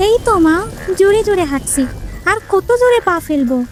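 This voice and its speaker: A woman's voice: very high-pitched, lively intonation, a little fast, soft background music. A woman voicing a cheeky, greedy little village girl in a folk-tale cartoon, childlike in manner. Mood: pleading.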